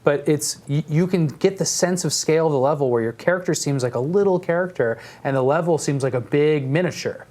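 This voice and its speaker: Quick-talking man, casual. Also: a little high, a little mellow. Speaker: panelist. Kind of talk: casual video game chat.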